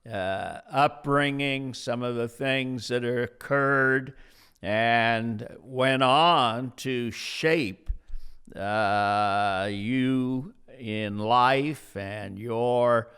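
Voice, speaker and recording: A man in his seventies hosting an unscripted interview podcast as a pastor, speaking in a deliberate, halting way with long pauses. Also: mid-range voice, steady tone, fairly bright tone, clear, resonant tone. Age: 70s. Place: at a dining room table in a house.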